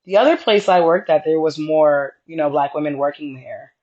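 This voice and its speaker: A woman co-hosting a podcast in casual conversation, with a deeper voice and a reserved, straightforward way of talking. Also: moderate pace, darkish tone, muffled recording. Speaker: podcast co-host.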